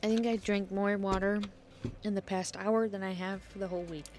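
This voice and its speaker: A woman's voice: mid-range voice, fairly even intonation, fairly quick, noisy background. A woman game streamer chatting casually over gameplay with rambling asides.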